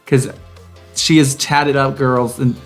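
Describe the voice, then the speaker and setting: A man's voice: mid-range voice, little pitch movement, slow pace. A man chats casually as a podcast co-host, with a campy, sassy delivery. His voice sits in a medium register. Faint music plays behind him. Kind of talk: casual, chatty banter. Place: home garage set up as a studio.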